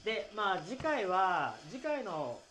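A man with a fairly high voice talks alone as a podcast host over faint background music. His intonation moves up and down a lot as he explains things casually. The delivery is conversational and somewhat hesitant. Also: huge pitch swings, slow, bright, forceful tone. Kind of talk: casual solo after-talk, explaining scripture.

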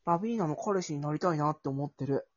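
Woman doing a trendy smooth guy in a radio skit, husky, flirty and showy, muffled audio. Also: deep voice, quick pace, darkish tone, voice pushed down. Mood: earnest, showy.

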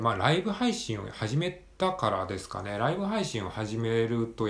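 A man hosting a solo podcast, giving casual practical tips in a conversational way with frequent softening tags at the ends of phrases. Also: lowish voice, reverberant.

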